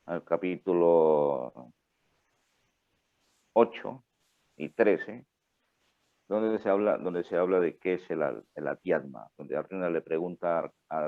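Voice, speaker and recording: A very deep-voiced man gives an unhurried spiritual lecture, checking in with his listeners after almost every phrase. His voice comes through a thin, phone-like line, as if over a video call. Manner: slow, reflective, rhetorical "¿no?" after each phrase. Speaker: spiritual teacher. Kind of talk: online class lecture. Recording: phone-like narrow audio.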